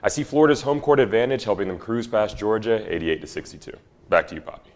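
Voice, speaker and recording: A young man with a very low voice breaks down the matchup in a measured, emphatic way. It is scripted analysis for a student sports show. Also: lively intonation, very noisy. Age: young adult.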